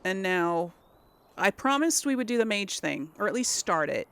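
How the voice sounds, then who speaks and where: A woman hosting a casual let's play, thinking aloud in a folksy way with a creaky voice as she comments on the game. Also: medium pitch, big pitch swings, moderate pace. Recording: faint background game noise.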